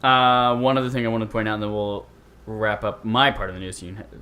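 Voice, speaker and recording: A man's voice: on the low side, level tone, quick pace, pushed and bright. A man co-hosting a podcast, running casually through video game news items. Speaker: podcast co-host.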